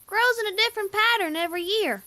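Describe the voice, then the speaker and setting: A woman's voice: extremely high pitch, quick pace, bright, forceful tone. A woman voicing a stubborn, uneasy young country pony filly in a fan audio drama. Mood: huffy, matter-of-fact.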